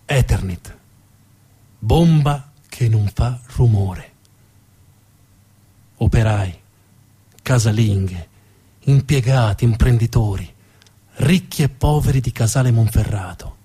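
A man's voice: medium pitch, lively intonation, takes it slowly, pauses often, warm tone, husky. A man narrating as a stage storyteller, dramatic in delivery and repeating words for emphasis.

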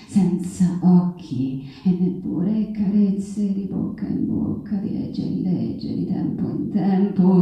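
Defiant doomed young heroine, slow poetic stage declamation, incantatory, heard from far off over live guitar. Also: female voice, on the low side, warm tone. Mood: weary, bitter. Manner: incantatory, repetitive, declaiming in short broken phrases. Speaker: stage actress. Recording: distant, echoey hall, dull sound.

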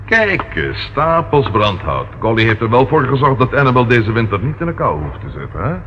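Animated, curious policeman, played by a man, muffled recording. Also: mid-range voice, moderate pace, natural voice. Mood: curious, puzzled. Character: puzzled, curious male police colleague searching. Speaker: radio drama actor. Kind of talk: radio play acting. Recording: muffled, noisy old radio drama recording.

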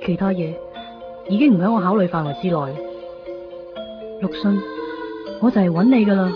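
A female actor plays a reserved but determined young woman in radio drama dialogue and monologue. Her pitch is medium and her manner guarded, in a dullish recording with music clearly behind. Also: normal speed, close to an everyday voice. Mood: resolute, wistful. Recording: muffled 1990s radio drama under music.

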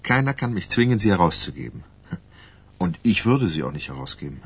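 An actor playing a candid, careful male ghostwriter in a German radio crime drama, explaining things in a measured way. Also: low-pitched, sing-song, slightly rough, full character voice. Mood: earnest, cautioning.

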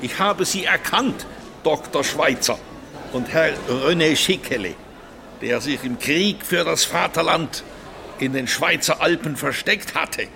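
An actor playing a stern, officious German man in a radio play, speaking formally and commandingly in a gravelly voice. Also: on the high side, unhurried, noisy background. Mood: stern, accusing.

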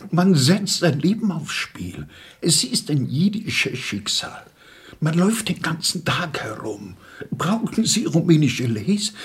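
An actor playing a timid, shabby little money-changer in a radio play, wistful and resigned, with a husky, halting delivery. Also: male voice, a little high, exaggerated voice.

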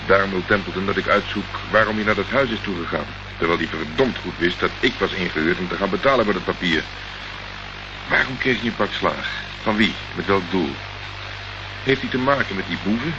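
A low-pitched, sardonic private investigator acted by a man in a radio play. He answers in a deadpan, unruffled way. The old recording is dull, with clear hiss and noise behind him. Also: brisk, lightly acted. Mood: matter-of-fact. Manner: sarcastic, deadpan. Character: dry, sardonic male private investigator, unruffled under questioning. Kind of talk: Dutch radio play acting.

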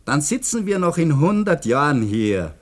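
An actor with an Austrian accent playing a philosophical dam construction worker in a 1950s radio drama, reflective and earnest. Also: male voice, a little high, big pitch swings, moderate pace. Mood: earnest, musing. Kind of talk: 1950s German-language radio drama acting.

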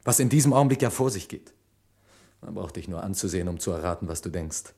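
An actor playing a desperate, guilt-ridden man hiding a crime in a vintage radio crime play, with a husky, weary delivery. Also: sits low, expressive, fast talker, mellow. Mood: despairing confession.

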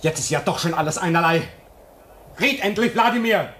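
A man's voice: on the high side, brisk, a bit bright, slight background noise, lightly acted. An actor in a German radio play as an impatient, sardonic newer man at a polar station, clipped, sarcastic and theatrical. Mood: urgent.

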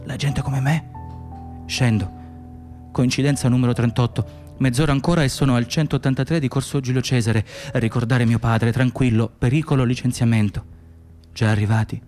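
An actor playing an exhausted, anxious immigrant nurse in a radio play, speaking in fragmented, repetitive phrases. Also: male voice, mid-range voice, fairly even intonation, normal speed, darkish tone, faint music behind, natural voice. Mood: weary, anxious. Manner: fragmented, self-muttering, repetitive. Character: exhausted, anxious Moroccan immigrant nurse, man, muttering inner thoughts. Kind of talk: radio theatre interior monologue and dialogue.

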